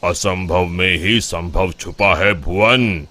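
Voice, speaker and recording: A man playing a calm village temple priest in a cartoon story, speaking in a measured, solemn, polite way. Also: low-pitched, no room sound, exaggerated voice. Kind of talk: Hindi cartoon story dialogue. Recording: faint background noise.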